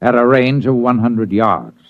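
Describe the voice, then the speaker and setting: A cold, clinical official played by a man pronounces deliberately in a mid-pitched voice. The vintage radio recording is muffled and dry. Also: no room sound, natural voice. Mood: grim, detached. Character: grim, clinical male official coldly warning a reporter. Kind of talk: old-time radio acting.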